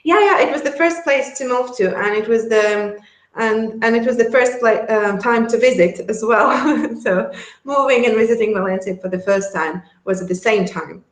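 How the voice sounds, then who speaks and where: A woman's voice: on the high side, moderate pace, echoey room. A woman from Latvia with a Latvian accent, a guest chatting casually in an interview, laughing often and restarting her sentences. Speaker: guest, relocation service founder.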